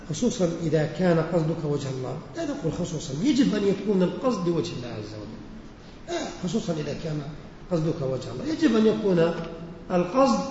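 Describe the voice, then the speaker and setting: A man teaching a religious lesson who recites verses slowly, repeats them and explains their words with questions to his listeners. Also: fairly high pitch, darkish tone, slight background noise. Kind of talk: lesson explaining poetry and sayings. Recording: echoey hall, muffled lo-fi recording.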